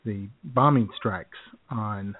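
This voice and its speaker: A man's voice: on the low side, slow. A man co-hosting a podcast, chatting casually, joking and sarcastic, laughing often. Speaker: podcast co-host. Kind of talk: casual chat. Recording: tinny phone-like sound.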